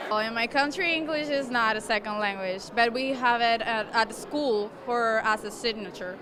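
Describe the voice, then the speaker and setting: A woman talks chattily in English, starting sentences over as she goes. Her voice is fairly high. A crowd murmurs loudly behind her. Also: moderate pace. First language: Spanish. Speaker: adult English learner.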